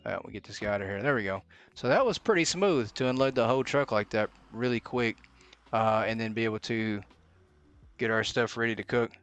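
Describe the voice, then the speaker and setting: A man's voice: lowish voice, a little fast, fairly bright tone, smooth, no echo, highs cut off. A man giving live gameplay commentary as a streamer, thinking aloud and muttering to himself as he plays. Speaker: game streamer.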